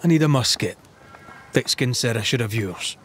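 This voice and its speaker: An actor playing a confident monster hunter in a game cutscene, earnest and wry. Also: male voice, mid-range voice, animated, moderate pace, slight background noise, lightly acted. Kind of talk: dramatic game cutscene acting.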